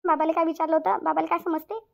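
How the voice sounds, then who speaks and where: A woman's voice: extremely high pitch, level tone, rapid-fire, gravelly. A woman voicing a stern, self-pitying middle-aged mother-in-law in a cartoon family drama, nagging with repeated questions in a Vidarbha Marathi accent. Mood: tearful, wounded. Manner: nagging, repetitive rhetorical questions. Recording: dull lo-fi audio.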